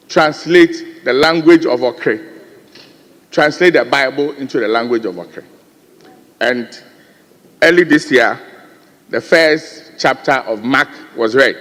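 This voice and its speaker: A man's voice: a little high, fairly bright tone, big-room sound. A man with a Ghanaian accent giving an earnest floor speech in parliament, often turning to address the chair. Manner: earnest, rising emphasis, repeated addresses to the chair. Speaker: member of parliament. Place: large parliamentary chamber.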